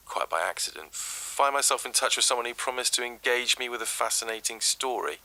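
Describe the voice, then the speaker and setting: An actor playing a curious, formal folklore professor recording a field diary on tape. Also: male voice, fairly low pitch, sharp tone, rough recording. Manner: formal, wordy, precise.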